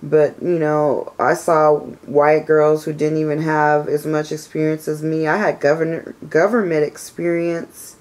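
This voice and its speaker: A woman in her thirties with a cold, stuffed up and raspy, venting her frustrations in a rambling personal vlog full of "you know"s. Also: sits low, flat delivery, measured pace, few pauses, a little mellow, rough recording. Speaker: vlogger. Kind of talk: casual personal vlog rant.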